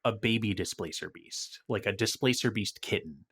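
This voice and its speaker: A man in a casual podcast discussion, stopping and restarting as he thinks out loud, with animated asides. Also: on the low side, normal speed, husky. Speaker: podcast guest.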